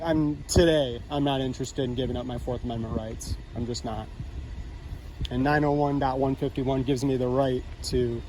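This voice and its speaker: A man's voice: mid-range voice, steady tone, normal speed, darkish tone, rough recording. A man in spontaneous conversation as a citizen journalist, insistent but polite, recorded outdoors. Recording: outdoor street noise, passing vehicles.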